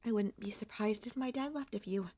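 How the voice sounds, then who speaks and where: A woman voicing a gentle, wistful and anxious young centipede monster girl in an ASMR audio roleplay, speaking softly and hesitantly in a conversational way. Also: medium pitch, brisk, husky, dry recording, natural voice. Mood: calm, reminiscing.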